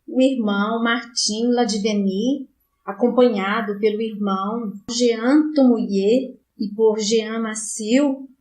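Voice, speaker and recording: A woman reads an audiobook in the first person, slowly and solemnly. Her voice sits a touch high, and the recording has a roomy echo. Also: a little mellow. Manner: slow, measured, solemn reading. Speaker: audiobook narrator. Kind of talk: scripted first-person narration.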